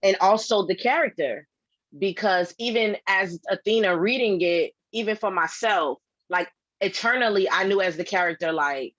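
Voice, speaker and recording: A woman voice actor giving affirming feedback in a casual discussion, halting as she thinks aloud. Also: mid-range voice, a bit bright, dry recording, dull audio.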